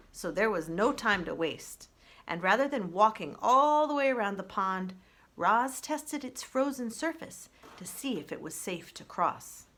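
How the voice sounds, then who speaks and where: A woman narrates a children's storybook with expression. Her voice sits at an average pitch for a woman. She reads aloud in the manner of a children's librarian sharing a chapter book. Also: fairly bright tone.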